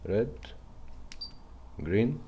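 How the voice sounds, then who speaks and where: A man's voice: fairly low pitch, big pitch swings, extremely slow, warm tone, a little hiss or hum. A man giving a casual, step-by-step product demonstration in an instructional way. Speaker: presenter demonstrating retrofitted car radios.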